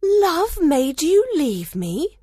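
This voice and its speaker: A man's voice: extremely high pitch, huge pitch swings, measured pace, a bit bright, pitched above the performer's own voice, full character voice. A man voicing a distraught, emphatic young woman in love in a dramatized storybook reading. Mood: bewildered hurt. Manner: emphatic, pleading, indignant.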